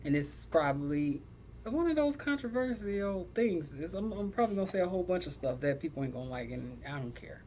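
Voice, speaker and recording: Low-voiced blunt woman host. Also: a little fast, a little hiss or hum. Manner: blunt, animated, cusses freely, prone to laughing. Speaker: podcast host. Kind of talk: casual sibling podcast chat.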